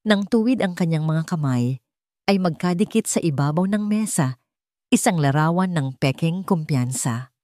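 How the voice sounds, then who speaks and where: A woman's voice: sits low, lively intonation, normal speed, dark tone, no echo, clean studio sound. A woman narrator reading a scripted dramatic audiobook story.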